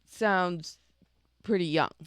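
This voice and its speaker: A woman's voice: lowish voice, slow pace, clear, resonant tone, no echo. A woman in her forties co-hosting a podcast, chatting with dry, wry remarks. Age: 40s. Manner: dry, wry, agreeable interjections. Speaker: podcast co-host. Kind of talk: casual chat.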